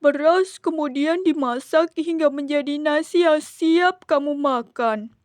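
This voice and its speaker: A woman's voice: high voice, a little slow, darkish tone, no echo, studio quality. A woman voicing a sad talking grain of rice in a children's story, tearful and earnest as it explains itself. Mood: earnest, explaining.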